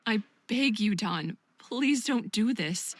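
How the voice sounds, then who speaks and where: A protective best friend, close and dry. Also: female voice, medium pitch, animated, takes it slowly, no room sound. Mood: pleading, worried. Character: Arania, caring, protective young woman, heroine's best friend. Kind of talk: visual novel voice acting.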